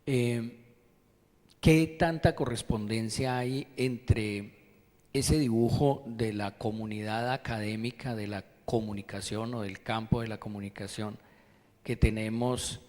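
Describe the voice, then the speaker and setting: A man's voice: fairly low pitch, steady tone, slow pace, darkish tone. Hesitant man asking, hall echo. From Colombia. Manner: hesitant, searching for words. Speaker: audience member asking a question. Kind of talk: conference question. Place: university auditorium.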